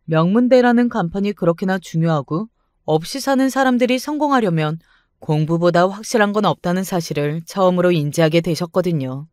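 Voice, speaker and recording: A man narrating a first-person family story in a calm, even scripted manner. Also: high-pitched, fairly quick, clear, resonant tone, no echo, clean studio sound.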